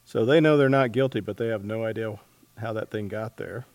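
Low-pitched man hosting, halting delivery. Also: darkish tone, dry recording. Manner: halting, thinking aloud. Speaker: interviewer, host. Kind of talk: casual book interview.

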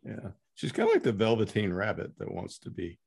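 A man with a lowish, gravelly voice interviews guests in an unscripted book discussion. He speaks in a halting way, often pausing partway through a sentence before carrying on. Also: huge pitch swings, darkish tone. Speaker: interviewer and host.

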